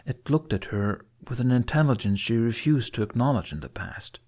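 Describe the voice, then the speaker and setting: A man's voice: mid-range voice, expressive, normal speed, darkish tone. A man reading scripted fiction as an audiobook narrator.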